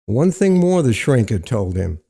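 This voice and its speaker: A man narrating literary fiction in a measured, deliberate storytelling manner. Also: medium pitch, very animated, creaky, dark tone, slightly rough.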